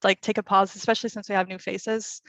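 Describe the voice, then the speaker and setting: Brisk, fast-talking woman organizer. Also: mid-range voice, little pitch movement, a bit bright, no room sound. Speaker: seminar organizer. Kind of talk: facilitating online discussion.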